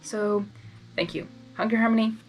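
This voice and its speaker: A young adult woman from Hungary casually reacting as she reads fan fiction aloud, excitable and rambling, often interrupting herself. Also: a little high, moderate pace, rough recording. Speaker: fan fiction reader and video host. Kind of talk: casual blind reading with personal commentary.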